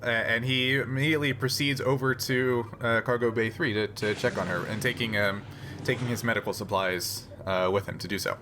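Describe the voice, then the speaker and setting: A man running a tabletop role-playing game as game master, narrating casually in a halting, piecemeal way. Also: medium pitch, steady tone, sharp tone, slight background noise. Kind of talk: tabletop actual play narration and casual chat.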